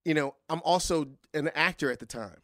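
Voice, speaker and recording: A middle-aged man, a singer, talking casually in an interview, often restarting his sentences and growing animated when he recalls singing. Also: a little high, brisk, no echo, clean studio sound. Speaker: guest singer.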